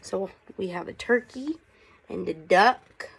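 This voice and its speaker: A woman's voice: mid-range voice, creaky, husky. A woman teacher reading a picture book aloud to children, casually prompting them with questions as she goes. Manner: unhurried, inquisitive, prompting listeners with questions.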